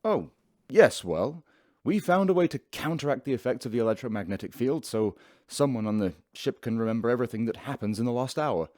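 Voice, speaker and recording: An actor playing a self-satisfied, pompous professor in a sci-fi radio drama, speaking in wordy sentences. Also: male voice, medium pitch, expressive, fairly quick, a little mellow, no echo, clean studio sound, natural voice. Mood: self-important. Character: self-satisfied male professor, a scientist aboard a spaceship.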